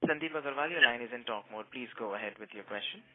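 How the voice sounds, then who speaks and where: A man's voice: mid-range voice, quick pace. A man working as a conference call operator, giving formal, scripted announcements to participants. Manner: formal, procedural. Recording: tinny, noisy phone conference line.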